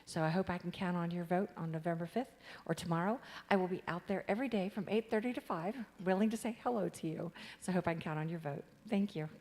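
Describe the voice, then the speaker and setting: A woman's voice: lowish voice, quick pace, few pauses, a little mellow, in a large hall. A woman giving a confident campaign speech at a candidate forum, listing her credentials. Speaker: city council candidate. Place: community forum hall.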